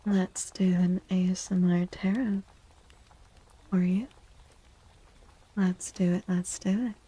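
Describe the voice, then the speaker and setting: A woman speaking in a soft ASMR style as a streamer doing a tarot reading, hushed and often slipping into whispers. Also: lowish voice, fairly even intonation, slow pace, breathy edge.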